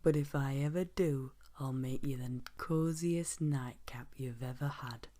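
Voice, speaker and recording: A woman's voice: extremely low pitch, a little slow, dark tone. A woman voicing a kindly, cheerful little male woodland gnome in a whispered bedtime story. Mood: gentle. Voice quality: breathy whisper.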